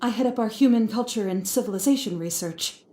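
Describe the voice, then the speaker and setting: A woman's voice: medium pitch, fairly quick, dark tone, slight background noise, lightly acted. An actress playing an earnest, polite young female scholar in a video game, speaking in an apologetic, courteous way. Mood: relieved, grateful. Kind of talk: video game character acting. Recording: faint music, roomy sound.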